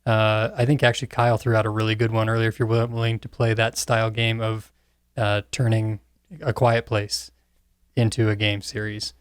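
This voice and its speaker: A man's voice: fairly low pitch, level tone, normal speed, clear, resonant tone, dry recording. Man chatting casually, dry close sound. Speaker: podcast co-host. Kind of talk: casual panel chat.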